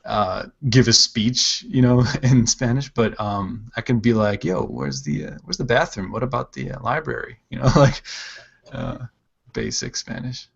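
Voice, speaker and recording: Man, stream host, gravelly creaky voice, casual rambling chat, many fillers, dull lo-fi audio. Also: medium pitch, normal speed. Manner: relaxed, rambling, full of "like" and "um". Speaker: livestream host, digital artist. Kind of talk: casual chat while drawing on a livestream.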